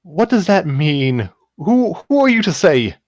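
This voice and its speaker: A man's voice: fairly high pitch, sing-song, a little slow, darkish tone, no room sound, studio quality, voice pushed up. A man voicing an anxious, defensive young woman in a fan fiction reading. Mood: indignant.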